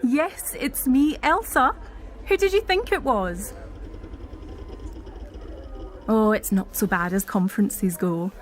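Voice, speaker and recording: A woman's voice: on the high side, unhurried, close to an everyday voice. An actress playing a prickly, sardonic woman in a naturalistic audio drama, speaking bluntly. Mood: casual, chatty. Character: prickly, sardonic Scottish woman wheelchair user named Elsa.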